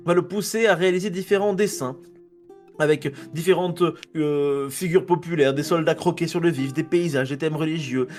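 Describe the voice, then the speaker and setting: French man reading history, trips over names. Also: a little high. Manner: reads a text, stumbles on names, jokes and swears at himself. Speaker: podcast co-host presenting a history segment. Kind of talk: casual history podcast narration read from notes.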